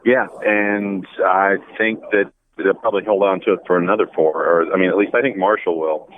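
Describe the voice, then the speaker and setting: A man with a deep voice talks sports over the phone, casually offering his picks. The line is dull and band-limited, with a little noise under it. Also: fairly even intonation, brisk. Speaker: sports commentator on the show. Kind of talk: casual sports chat with predictions.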